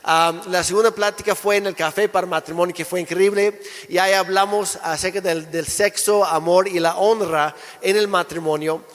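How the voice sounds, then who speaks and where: A man from Canada, a native English speaker, preaching a relaxed sermon in Spanish with jokes and restarts. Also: sits high, moderate pace, few pauses. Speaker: pastor. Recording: echoey hall with long reverb.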